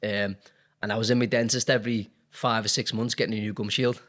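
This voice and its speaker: Low-voiced Liverpool man, chatty guest. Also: flat delivery, fast talker, a bit bright. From Liverpool, England. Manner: candid, rambling, many fillers. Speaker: dentist and business owner. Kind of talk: casual interview chat.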